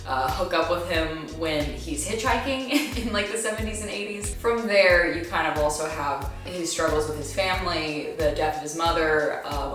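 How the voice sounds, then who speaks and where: A young woman chatting casually to viewers about what she recommends, with an animated, gushing, emphatic manner. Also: lowish voice. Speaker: book review vlogger. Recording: echoey room, distant mic, faint music.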